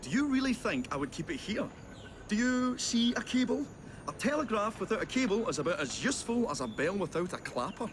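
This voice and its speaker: A man playing an eccentric, polite, nervy young Victorian gentleman inventor in a video game, with a British accent and a hesitant, wordy, theatrical way of talking. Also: sits high, big pitch swings, fairly quick, a little hiss or hum. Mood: mocking, playful. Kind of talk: video game cutscene acting.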